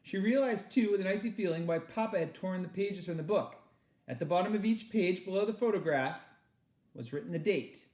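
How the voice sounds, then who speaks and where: Guy reading a kids novel out loud, expressive, slightly high voice, echoey room. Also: fairly quick. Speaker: read-aloud reader. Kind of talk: expressive children's novel narration.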